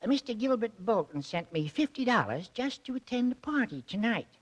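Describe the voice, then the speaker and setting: A puny, self-important private eye in comic 1940s radio style. The voice is high for a man and swoops animatedly, with hesitant stammers between the boasts. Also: big pitch swings, brisk, exaggerated voice. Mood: sheepish, confiding. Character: tiny, timid, boastful little male private detective. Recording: old 1940s radio recording.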